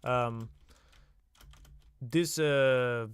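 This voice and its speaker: A man's voice: mid-range voice, drawn-out words, clear, resonant tone, no room sound. A young man hosting a casual livestream, talking in a loose, hesitant way full of filler sounds. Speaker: livestream host.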